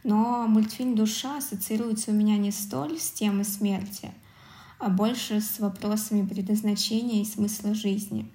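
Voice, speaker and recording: A woman's voice: mid-range voice, mellow. A woman co-hosting a podcast, talking conversationally about film, recorded in an echoey room. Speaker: podcast co-host. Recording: echoey, roomy sound.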